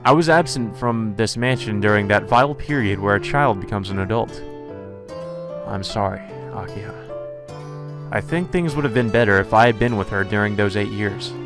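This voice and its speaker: A man's voice: fairly low pitch, moderate pace, lightly acted. A man playing a brooding, easily puzzled teenage boy who narrates a visual novel introspectively over music. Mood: wistful.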